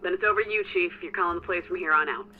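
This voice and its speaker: Actress as a cautious female technician, brisk, businesslike, sci-fi audio drama, clear music bed. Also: fairly low pitch, phone-quality sound, lightly acted. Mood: tense, businesslike. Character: cautious, no-nonsense female technician monitoring a risky heist. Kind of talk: science fiction audio drama acting.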